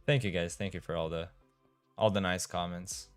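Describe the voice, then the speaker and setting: Low-voiced young Romanian-accented streamer. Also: male voice, steady tone, moderate pace, smooth, dry recording, soft background music. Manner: chatty, reads chat aloud, trips over his words. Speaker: game streamer. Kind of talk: casual live-stream chat while playing.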